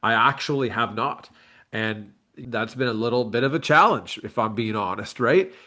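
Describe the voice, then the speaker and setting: A man's voice: medium pitch, normal speed, bright, forceful tone. A man, talking candidly and reflectively in a casual interview as a bodybuilder and coach. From Canada. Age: late 30s.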